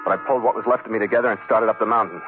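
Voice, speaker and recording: An actor playing a weary, tough ship captain in 1940s radio adventure style, speaking in a terse, hard-boiled manner. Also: male voice, fairly low pitch, steady tone, racing, close to an everyday voice. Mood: grim. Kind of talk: 1940s radio adventure acting, first-person narration. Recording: 1940s radio broadcast, narrow band, music behind.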